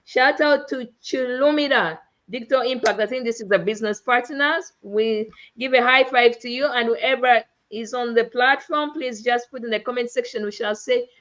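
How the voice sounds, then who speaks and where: A woman from Uganda hosts a live online talk, her voice set a little high and stretched into exuberant, emphatic phrases. The sound comes through dull and lo-fi, like a streamed call. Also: normal speed, few pauses, a bit bright. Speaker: host.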